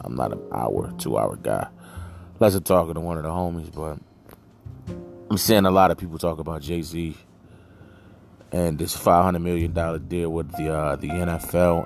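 A man with a deep voice hosting a podcast, giving blunt, emphatic opinions in casual, colloquial speech. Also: gravelly, a little mellow. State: just woke from a nap. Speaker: podcast host. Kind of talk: casual solo opinion commentary.

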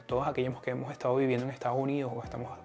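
A man's voice: medium pitch, little pitch movement, rapid-fire, a little mellow, soft background music. A man with a Venezuelan accent hosting an explanatory podcast in a partly scripted monologue. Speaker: podcast host.

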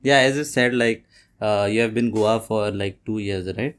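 A man hosting a podcast, welcoming his guest enthusiastically and filling pauses with short acknowledgements. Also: on the low side. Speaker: podcast host. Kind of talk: podcast interview.

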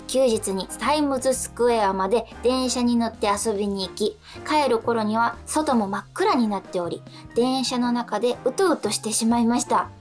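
A woman's voice: fairly high pitch, moderate pace, few pauses, darkish tone, soft background music. A woman co-hosting a radio show, chatting lightly and reading listener letters aloud.

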